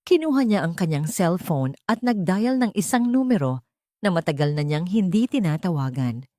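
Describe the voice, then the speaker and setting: A woman's voice: fairly low pitch, very animated, moderate pace, dark tone, no echo, studio quality. A woman with a Filipino accent giving a dramatic scripted narration of a story. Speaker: narrator of audio drama stories.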